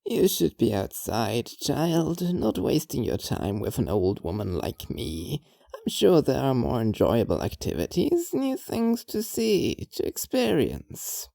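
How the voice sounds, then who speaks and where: A woman voicing a frail, gentle dying old woman in an audio drama, speaking haltingly with weary resignation. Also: rumbling low, wildly swooping pitch, creaky, no room sound, clean studio sound, exaggerated voice. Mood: weary, kindly.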